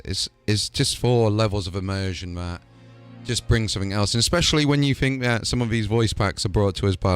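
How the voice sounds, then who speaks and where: A man hosting a gaming video in casual, excited chat that rambles along with lots of fillers. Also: low voice, smooth, dry recording. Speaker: host.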